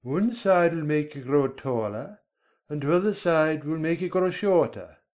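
A man voicing a curt, haughty, contradictory caterpillar in a storybook, in a slow, drawn-out, decided way. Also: a little high, darkish tone, voice pushed up, natural voice. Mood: curt, dismissive. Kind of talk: storybook character voice.

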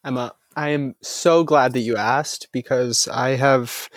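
A young man co-hosting a casual podcast, laid-back and quick to laugh. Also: medium pitch, steady tone, no echo, studio quality. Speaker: podcast co-host. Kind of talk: casual chat.

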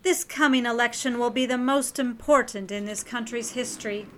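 A woman playing an imperious, scheming elderly grandmother in a 1930s noir audio drama, stern and measured. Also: on the high side, natural voice. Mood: grave, haughty. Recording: clear background noise.

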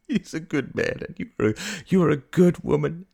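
A man's voice: on the high side, a little slow, vocal fry, warm tone, husky, pitched above the performer's own voice, heavily acted. A man voicing a giddy, overwrought young woman in an audiobook, theatrical, breathless and stammering, with a proud, tearful edge and brittle laughter. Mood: fervent, proud. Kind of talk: audiobook character acting.

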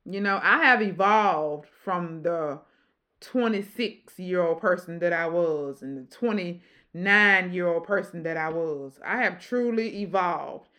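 A woman in her forties with a deep voice, hosting a casual podcast chat and preaching in an emphatic, repeating way. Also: measured pace, sharp tone. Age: 40s. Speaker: podcast host.

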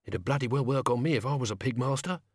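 A man's voice: medium pitch, fast, a little mellow, slightly rough, no room sound, studio quality, close to an everyday voice. A man voicing a cheeky, earthy, loyal little servant with a matey, irrepressible, colloquial manner. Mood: chirpy. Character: cheeky, loyal servant hidden among sacks, chirpy, earthy.

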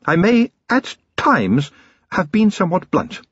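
A man voicing a genteel, forceful middle-aged aristocratic wife in an audiobook, emphatic and polite, briskly affectionate. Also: high voice, big pitch swings, breathy edge, dry recording, muffled recording, voice pushed up, exaggerated voice. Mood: carefully restrained. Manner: emphatic, polite, upper-class phrasing. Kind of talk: audiobook character voice.

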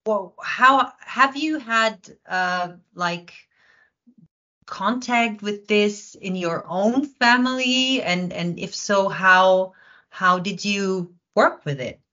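A woman therapist speaks in a lowish voice, feeling her way through her sentences and often repeating words. The recording sounds muffled and dull. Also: a bit bright. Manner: searching, repeats words while thinking. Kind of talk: podcast interview.

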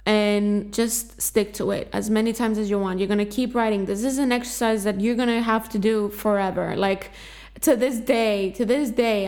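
A woman's voice: medium pitch, darkish tone. A woman whose first language is Greek, hosting a self-help podcast. She gives earnest, encouraging instructions and often repeats herself. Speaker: podcast host.

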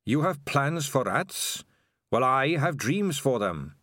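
A small, brave blind rat, male and earnest, as a man reads him in an audiobook. He speaks calmly and gently, very slowly, with a lively rise and fall. The sound is dry and close. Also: mid-range voice, no echo. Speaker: audiobook reader. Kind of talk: audiobook character voice.

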